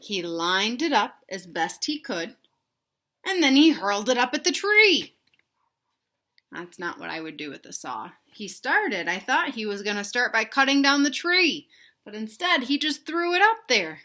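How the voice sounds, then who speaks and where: Bright-voiced woman reading aloud to children. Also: fairly high pitch, big pitch swings, a little slow. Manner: asks young listeners questions, explains in simple words. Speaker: read-aloud storyteller for children. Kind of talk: picture book read-aloud with asides to children.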